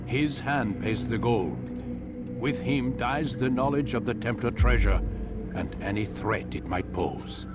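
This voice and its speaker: A man playing a solemn, persuasive old master in a game cutscene, speaking in measured, formal oratory. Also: medium pitch, lightly acted. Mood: grave resolve. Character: grave, persuasive old male master and mentor. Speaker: game voice actor. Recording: loud music under the voice.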